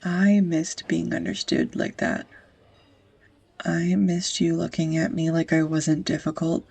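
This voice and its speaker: A young woman acting a tender, easily flustered sweetheart in an intimate romantic audio roleplay, with a husky, breathy, hesitant voice. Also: sits low, darkish tone, full character voice. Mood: vulnerable, fond. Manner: hesitant, confessional, breaking into whispers.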